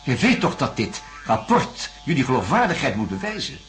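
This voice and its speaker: An actor playing an insistent, placating psychiatrist in a radio drama, reasoning and coaxing in speech. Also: male voice, mid-range voice, sing-song, fairly quick, fairly bright tone, soft background music. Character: male psychiatrist, placating and insistent, defending his methods.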